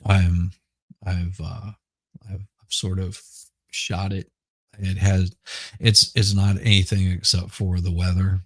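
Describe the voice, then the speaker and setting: A middle-aged man chatting casually as a podcast host, hoarse and rambling, often repeating words and restarting. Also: low voice, flat delivery, takes it slowly, pauses often, a bit bright, no echo. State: hoarse from smoke. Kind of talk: casual livestream chat.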